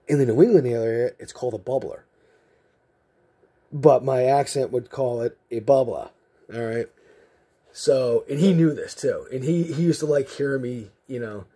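A man from Massachusetts with a softened Massachusetts accent, chatting in a rambling, jokey way while sorting trading cards indoors, picked up by a camera mic from off-camera. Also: medium pitch, breathy edge. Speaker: card collecting channel host. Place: indoor room with card shelves.

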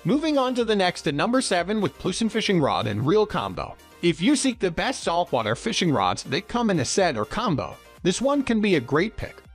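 A man narrates a scripted product review in a fairly high voice. His intonation is very lively, rising and falling widely from phrase to phrase. Faint music plays behind him. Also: fairly quick, few pauses, slightly rough.

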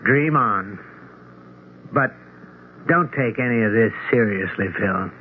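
A man's voice: lowish voice, lively intonation, takes it slowly. A man acting a blunt, skeptical friend with a dry, sardonic manner in a 1970s radio drama. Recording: tinny, noisy old radio broadcast.

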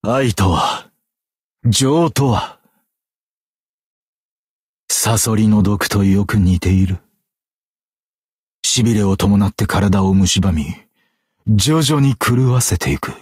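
An actor voicing a suave, poetic masked fox phantom thief in a video game, with flowery, courtly, dramatic phrasing. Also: male voice, fairly low pitch, slow, long pauses, breathy edge, dry recording, studio quality. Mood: poetic, brooding. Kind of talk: stylized Japanese game character voice acting.

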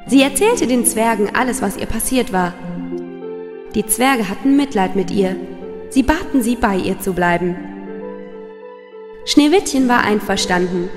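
A woman reading a scripted children's fairy tale as its storyteller. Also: medium pitch. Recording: long reverb, music behind.

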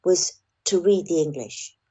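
A woman's voice: fairly low pitch, unhurried, dark tone, slightly rough. A woman speaking thoughtfully and hesitantly as an audiobook narrator being interviewed. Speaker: audiobook narrator, podcast guest. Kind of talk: reflective interview talk.

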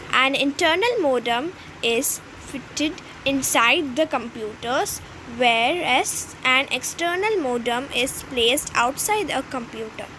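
A woman's voice: high-pitched, bright, forceful tone, slight background noise, low-quality recording. A woman presenting a school textbook lesson by reading it aloud.

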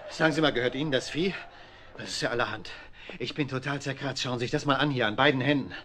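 A man's voice: medium pitch, fast talker, a loud crowd, close to an everyday voice. An actor playing a shifty, irritable young man in a radio play, blustering and evasive. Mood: angry, indignant. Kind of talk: German radio play dialogue.

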